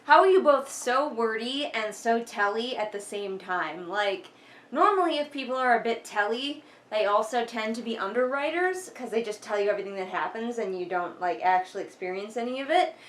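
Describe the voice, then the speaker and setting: High-voiced woman, sarcastic and exasperated. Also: expressive, moderate pace. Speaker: vlogger doing a critical book read-along. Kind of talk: casual sarcastic commentary with bits read aloud.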